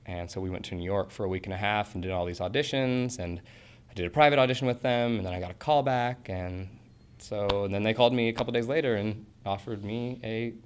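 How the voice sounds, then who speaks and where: Young male drama student, very low voice, earnest and chatty, faint room noise underneath. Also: a little fast. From Portland, Oregon. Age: 20s. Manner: earnest, rambling with fillers and restarts. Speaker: interviewee, acting student. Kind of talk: casual interview answers.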